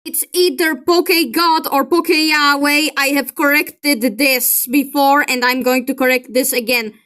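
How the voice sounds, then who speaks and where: A woman's voice: sits high, steady tone, a little slow, sharp tone. A woman giving opinionated commentary in an exasperated, emphatic manner. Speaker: video creator. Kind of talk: commentary reacting to a film critique.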